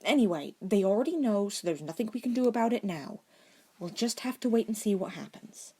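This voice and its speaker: A woman's voice: medium pitch, expressive, fairly quick, dark tone, breathy edge, pitched above the performer's own voice. A woman voicing an earnest, stubborn young schoolboy in an audiobook, casual and a little hesitant. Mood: reasoning. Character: earnest, stubborn eleven-year-old schoolboy, slightly grumpy.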